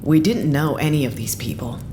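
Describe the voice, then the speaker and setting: A woman's voice: low-pitched, moderate pace, background noise, natural voice. A woman acting a blunt, unsentimental research staffer in an audio drama, matter-of-fact and casually profane in a naturalistic style. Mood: resigned, consoling.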